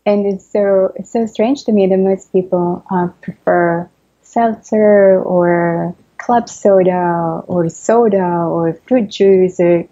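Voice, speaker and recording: Italian lady scientist explaining stuff, low husky voice, kinda muffled lo-fi sound. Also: warm tone. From Italy. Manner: explanatory, measured, with fillers. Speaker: neuroscientist guest. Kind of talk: interview answers.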